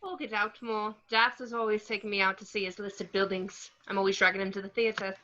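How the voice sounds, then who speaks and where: Chatty friend: very bright, eager, chirpy woman reader at a table read, slightly muffled lo-fi sound. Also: mid-range voice, steady tone, brisk, close to an everyday voice. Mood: chirpy, helpful. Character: chatty, helpful theatre-loving woman friend, a teacher. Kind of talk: informal table read of a film script.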